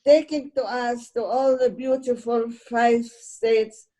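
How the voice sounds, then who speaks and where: A woman with a fairly high voice welcomes an online audience as coordinator. She speaks very slowly and deliberately, in a formal, ceremonious way. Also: fairly even intonation, mellow, low-quality recording. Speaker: programme coordinator and host. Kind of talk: formal welcome speech on a video call. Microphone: video call.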